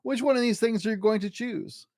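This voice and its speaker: A man's voice: very high-pitched, brisk, a little mellow, dry recording, studio quality, pitched above the performer's own voice. A man voicing an exasperated female schoolteacher, scolding and disbelieving, in a storybook reading. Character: exasperated female schoolteacher, impatient with a silly pupil.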